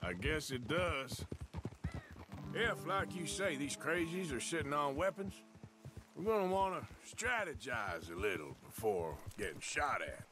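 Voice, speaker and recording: A man playing a gruff, wry outlaw gunslinger in a Western game, with an American Southern drawl and a dry, folksy manner. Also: a little high, lively intonation, unhurried, music in the background. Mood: easygoing. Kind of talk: video game cinematic acting.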